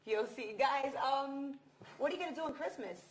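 A woman's voice: high voice, moderate pace. A young woman doing a playful, casual interview, teasing and pressing insistently with her questions. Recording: clearly noisy room recording.

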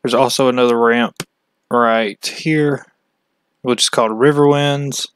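A man from the United States giving a casual, halting, matter-of-fact walkthrough as a fishing channel host. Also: medium pitch, steady tone, clean tone. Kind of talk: casual screen-share map walkthrough.

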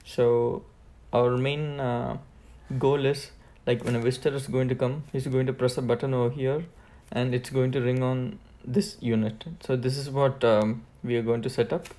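Male tutorial presenter, gravelly mid-pitched voice, plain step-by-step product walkthrough. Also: flat delivery, moderate pace, hardly any pauses, mellow. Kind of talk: hands-on product setup walkthrough.

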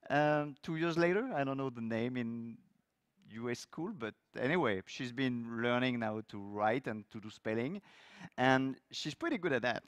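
A middle-aged man from France with a French accent, giving a technical talk on a conference stage with loose, lively phrasing and many fillers. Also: mid-range voice, moderate pace, clear, resonant tone, no room sound, clean studio sound. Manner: loose, lively delivery with frequent fillers and restarts. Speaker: conference speaker. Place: large conference hall stage.

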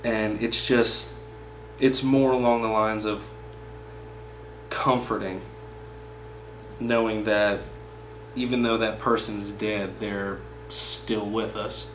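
A man in his twenties speaking in a flat, unhurried manner, seated in a small meeting room and picked up by a camera a few feet away. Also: on the low side. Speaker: poetry reader. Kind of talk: poem reading, then informal personal commentary. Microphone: camera mic a few feet away. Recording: roomy echo with faint background hum.

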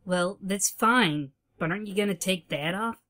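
A woman's voice: mid-range voice, moderate pace, heavy background noise, lightly acted. A woman playing a cool, determined young femme fatale in a comic-book dub. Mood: coaxing.

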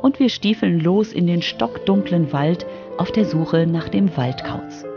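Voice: medium pitch, measured pace, lo-fi sound, music in the background. A narrator telling a scripted radio feature for children. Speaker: narrator, reporter.

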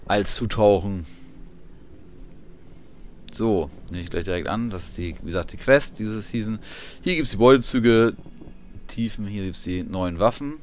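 A man giving casual gameplay commentary, muttering along as he reads menu items, with game sound behind him. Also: deep voice. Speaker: gamer commentating his playthrough. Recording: clear background noise, game ambience.

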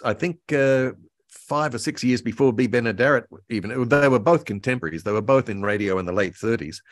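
A man's voice: on the low side, no echo, studio quality. An older man talking anecdotally as an interview guest, recorded over a video call from a room at home. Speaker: guest author and voice actor. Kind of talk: enthusiastic anecdotal interview talk about old radio and cartoons.

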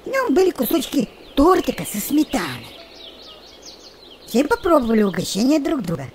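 A woman tells a children's tale with great animation. Her voice is high and swings widely in pitch. Birdsong and nature ambience sit behind her. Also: normal speed. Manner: expressive, animated. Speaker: storyteller. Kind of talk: scripted children's story narration. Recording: noticeable background noise with birdsong.

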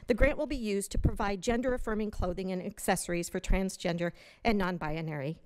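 A woman's voice: mid-range voice, brisk, a little mellow. A woman giving public comment in a large hotel meeting room, indignantly reading news stories aloud from a prepared text at a podium microphone.

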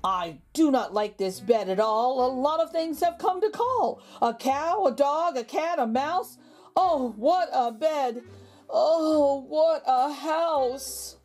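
A woman reading a picture book aloud to young children, with expressive, exaggerated intonation and drawn-out words. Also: sits high. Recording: background music under the voice. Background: music.

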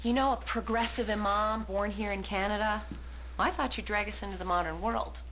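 An actress playing a sharp-tongued young woman. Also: mid-range voice, fairly even intonation, a little fast, a little hiss or hum, lightly acted. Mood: blunt. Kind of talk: sitcom acting. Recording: television soundtrack with faint music.

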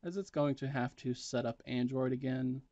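A man's voice: medium pitch, moderate pace, mellow, no room sound, lo-fi sound. A man presenting a step-by-step tech tutorial in a plain, matter-of-fact instructional way.

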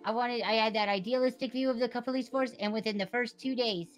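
A woman's voice: on the high side, fairly even intonation, fast, faint music behind. A woman doing casual improvised game roleplay in an earnest, rambling way with many restarts. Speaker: online roleplay player.

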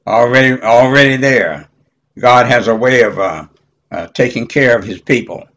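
An elderly man teaching Bible study in a halting, deliberate way, punctuated with amens. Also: medium pitch, fairly bright tone. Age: 70s or older. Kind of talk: informal Bible teaching with scripture reading.

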